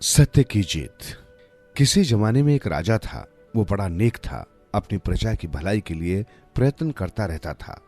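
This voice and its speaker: Low voiced guy telling moral stories, really animated intonation, bit of music behind. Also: a little slow. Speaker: storyteller narrator. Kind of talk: scripted narration of moral tales. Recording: music under the voice.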